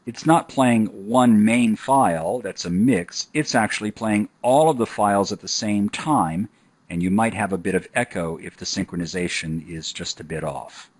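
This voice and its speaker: A man narrating a scripted software tutorial in an instructional style. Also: on the low side, normal speed, no room sound.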